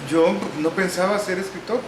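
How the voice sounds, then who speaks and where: A middle-aged man from El Salvador with a Salvadoran Spanish accent, talking through his life as a writer in an unhurried, reflective way, pausing on "eh" and adding wry humor. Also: high-pitched, steady tone. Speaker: interviewee, novelist and musician. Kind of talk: relaxed recorded interview. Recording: echoey room with air-conditioning hum.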